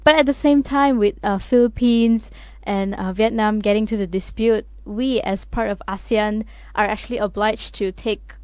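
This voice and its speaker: A woman co-hosting a talk show weighs her points carefully and often pauses with "uh". Her voice is mid-pitched and the recording is dry and a little dull. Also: fairly even intonation, smooth, dry recording. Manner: thoughtful, hesitant with frequent "uh" pauses. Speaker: radio show co-host. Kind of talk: current affairs discussion.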